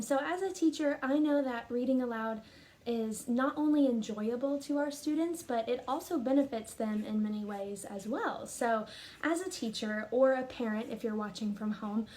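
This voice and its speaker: Mellow woman teacher, higher-pitched voice. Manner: expressive, teacherly. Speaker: teacher and reading program fellow. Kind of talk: explanatory talk, then storybook read-aloud.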